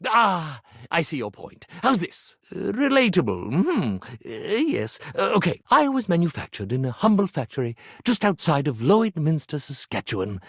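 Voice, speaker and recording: A vain, theatrical magician of a living ironing board in a video game. His highish voice leaps flamboyantly up and down through melodramatic, flowery phrases and stumbles into flustered hesitation. The sound is very dry, with no room around it. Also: huge pitch swings, normal speed, fairly bright tone, no room sound, exaggerated voice. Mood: flustered, earnest. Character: theatrical ironing board posing as grandiose stage illusionist. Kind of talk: video game character voice acting.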